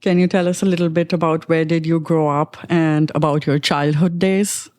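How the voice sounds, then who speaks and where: A woman's voice: low voice, level tone, moderate pace, a little mellow, dry recording. A woman from India hosting an interview, friendly and conversational. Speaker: host.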